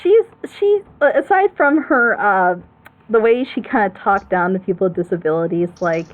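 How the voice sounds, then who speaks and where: A woman hosts a casual commentary chat, rambling with plenty of emphasis. Her pitch sits in the middle for a woman. The sound is thin and boxed-in, like a phone line. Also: animated, moderate pace. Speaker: host of a reaction show.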